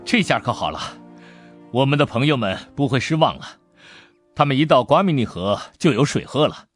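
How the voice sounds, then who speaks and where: A man voicing a kindly, composed gentleman adventurer in an audiobook, speaking in a measured, fatherly manner. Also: medium pitch, lively intonation, soft background music, close to an everyday voice. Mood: relieved. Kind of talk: audiobook character dialogue.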